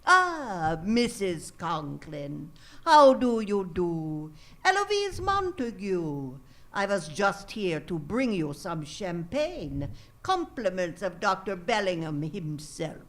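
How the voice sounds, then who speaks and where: Woman acting formal hotel manageress, gracious, slightly odd, comic radio drama, unhurried delivery, animated pitch. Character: gracious but odd female hotel manager, brisk and callous. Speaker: actor in live radio drama.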